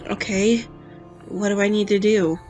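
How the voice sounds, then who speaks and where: A woman's voice: mid-range voice, steady tone, measured pace, darkish tone, lightly acted. A woman playing a frank, wary young female rebel who tells her own story as dramatic first-person narration. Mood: uneasy, awed. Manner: confessional, storybook-style telling. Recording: music behind the voice. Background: music.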